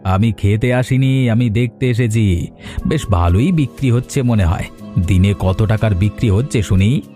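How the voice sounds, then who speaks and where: Smug wealthy cartoon villain, male actor, low-leaning and soft-edged, needling and haughty. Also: moderate pace, close to an everyday voice. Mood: smug, probing. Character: wealthy scheming village man, smug and condescending. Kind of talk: Bengali moral-story cartoon voice acting. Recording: music behind the voice.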